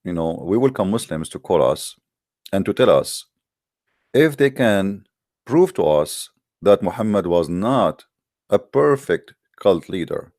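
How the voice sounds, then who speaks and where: Low-voiced man. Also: a little mellow, dry recording, studio quality. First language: Arabic. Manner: hesitant, many fillers and restarts, firm and challenging. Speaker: host of a live call-in debate show. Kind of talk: live call-in show monologue.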